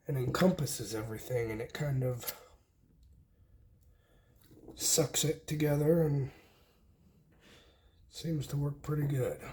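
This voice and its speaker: A man with a folksy, unhurried way of thinking aloud as he hosts a casual hands-on DIY demonstration. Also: on the high side, darkish tone. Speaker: host of a homesteading DIY vlog.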